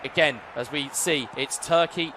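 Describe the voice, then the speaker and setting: A man's voice: a little high, unhurried, noisy background. A man with an English accent giving fluent, upbeat play-by-play commentary on an esports football match, often speaking to the viewers directly. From England.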